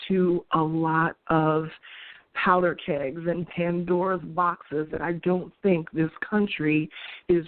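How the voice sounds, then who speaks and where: A woman's voice: sits low, no room sound. A woman in her forties from New York, hosting and giving her views in an earnest, conversational monologue with restarts and light laughs. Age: 40s. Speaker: host. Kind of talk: opinion monologue. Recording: tinny phone-like dry sound.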